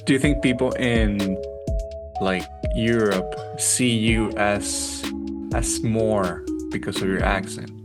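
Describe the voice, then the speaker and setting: A man's voice: lowish voice, steady tone, takes it slowly, loud music behind the voice. A man with a Spanish accent, co-hosting a casual podcast, asking questions and cutting in with dry teasing remarks. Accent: Spanish-speaking. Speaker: podcast co-host. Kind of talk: casual chat.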